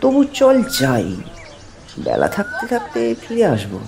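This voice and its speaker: A bold village boy, acted by a man, speaks with big swings of pitch and an unhurried pace. He is eager and persuasive, in a dramatised story. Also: huge pitch swings, gravelly, heavily acted. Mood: eager, firm. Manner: eager, insistent. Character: bold, persuasive village boy urging his friend onward.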